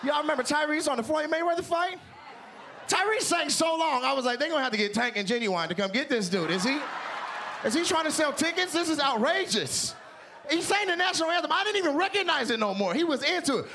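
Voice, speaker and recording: A man from Seattle working a studio stage with a handheld mic, his voice pitched high and swinging wide as he tells stories. He gets loud and animated and shouts the punchlines. There is a noisy hiss behind him. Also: fast. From Seattle, Washington. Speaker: stand-up comedian. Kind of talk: stand-up comedy. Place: large TV studio stage with live audience.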